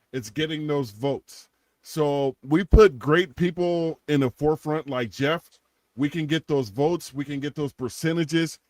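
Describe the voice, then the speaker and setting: A man's voice: mid-range voice, dry recording. A man in his forties co-hosting a podcast, joking and rambling through a donation plug with many restarts. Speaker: podcast co-host. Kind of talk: casual podcast chat with sponsor-style plugs.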